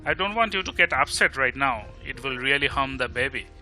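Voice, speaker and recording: A man with an Indian Bengali accent playing a caring, teasing young male friend in a bilingual audio drama, asking after someone and gently chiding. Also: mid-range voice, lively intonation, a little fast, soft background music. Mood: relieved, protective. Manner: solicitous, gently chiding, asking many questions.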